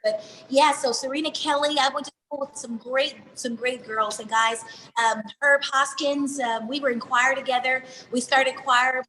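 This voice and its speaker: A woman singer, born in New Jersey and raised in Houston, chatting casually in an interview with quick slangy asides. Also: high-pitched, steady tone, bright, forceful tone, slight background noise, low-quality recording. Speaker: R&B singer, guest.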